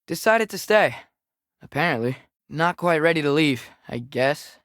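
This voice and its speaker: A man's voice: fairly high pitch, animated, unhurried, a bit bright, dry recording, studio quality. A young man with an American accent voicing a restless, casual teenage boy adventurer in a video game, with a hesitant, understated delivery. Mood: resigned. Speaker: game character voice actor. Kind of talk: video game companion voice lines.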